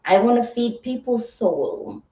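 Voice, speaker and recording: A woman's voice: a little high, animated, unhurried, dark tone. A woman giving a live motivational talk in a soothing, earnest and reflective way, often checking in with her listeners. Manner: earnest, reflective, rhetorical check-ins like "right?" and "okay". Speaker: livestream host and motivational content creator. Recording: roomy echo, distant mic, slightly muffled.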